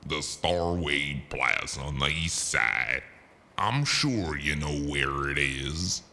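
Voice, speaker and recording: An actor playing a calm, businesslike underworld henchman in a noir audio drama, measured and faintly menacing. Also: extremely low pitch, full character voice. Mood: calm menace.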